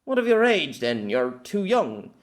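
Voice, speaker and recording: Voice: medium pitch, big pitch swings, a little slow, pitched above the performer's own voice. A performer voicing an exasperated, sceptical male storyteller with a fussy, formal way of talking in an audiobook. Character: exasperated, sceptical storyteller man, feeling old.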